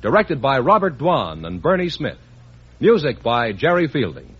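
A man announcing on a 1950s radio show in a smooth, polished way, reading sponsor messages and the sign-off credits. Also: medium pitch, sing-song, moderate pace. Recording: lo-fi archival radio recording with music.

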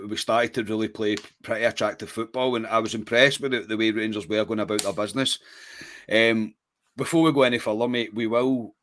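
A man's voice: lowish voice, a bit bright, a little hiss or hum. A man with a Scottish accent co-hosting a football podcast, chatting casually after a match, rambling a little and often calling his co-host mate.

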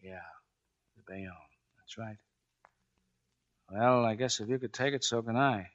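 An actor playing a gruff, commanding, plain-spoken Navy chief who tells his story, in 1940s radio drama style. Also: lowish voice, measured pace, highs cut off, close to an everyday voice. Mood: subdued. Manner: barking orders, plain-spoken narration.

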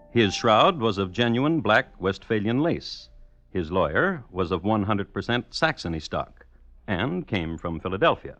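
Low-voiced, wry male radio narrator. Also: a bit bright. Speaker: host and narrator. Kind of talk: scripted true crime narration on old-time radio. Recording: dull old radio recording. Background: music.

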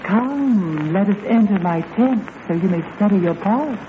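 An actress playing a melodramatic desert princess with theatrical, declamatory delivery in a 1940s radio comedy. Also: female voice, mid-range voice, lively intonation, a little slow, phone-quality sound, lightly acted. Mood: grand, formal. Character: melodramatic captive desert princess, exotic leading lady. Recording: thin old radio recording, audience noise.

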